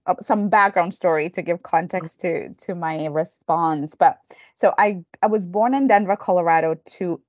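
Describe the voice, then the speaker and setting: Low-voiced woman, thoughtful. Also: darkish tone, no echo, dull audio. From Kenya. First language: Kikamba. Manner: thoughtful, earnest, halting restarts. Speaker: guest counselor educator. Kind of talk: reflective interview storytelling.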